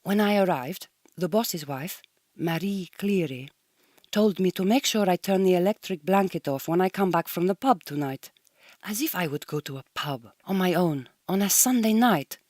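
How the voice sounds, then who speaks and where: A woman's voice: lowish voice, moderate pace, darkish tone, no echo, studio quality, close to an everyday voice. An actress playing a proud, homesick Hungarian migrant woman in a radio drama, speaking a diary in slightly stilted, formal English with a Hungarian accent. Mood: offended, disdainful. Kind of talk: recorded audio diary monologue in a radio drama.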